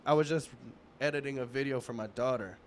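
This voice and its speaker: A man in his thirties hosting a podcast, chatting in a relaxed, rambling way in a casual interview. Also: mid-range voice, fast talker, a little hiss or hum. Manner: relaxed, rambling, many restarts and fillers. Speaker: podcast host.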